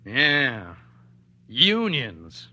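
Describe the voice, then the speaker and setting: A man's voice: medium pitch, wildly swooping pitch, extremely slow, pushed and bright, full character voice. An actor playing a surly, suspicious small-town gas station man with a rustic American accent in a 1940s radio play. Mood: resentful. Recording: noisy 1940s radio broadcast recording.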